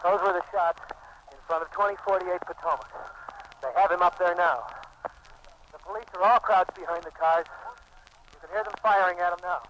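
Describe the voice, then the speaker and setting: Urgent high-pitched male field reporter, noisy radio. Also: a little fast, pauses often. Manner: urgent, excited. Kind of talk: live on-the-scene breaking news commentary. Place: outdoors in a city street. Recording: noisy 1950s shortwave radio relay.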